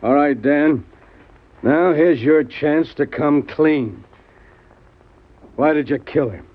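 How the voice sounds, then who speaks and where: An actor playing a bossy, suspicious police lieutenant who gives curt commands and questions in an old radio crime drama. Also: male voice, fairly high pitch, takes it slowly. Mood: stern, accusing. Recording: muffled old radio recording.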